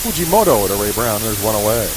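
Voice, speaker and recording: A man's voice: fairly low pitch, very animated, fast. A man calling live baseball play-by-play on the radio in clipped phrases that follow the action. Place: live baseball game broadcast. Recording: hissy, static-laden radio broadcast recording.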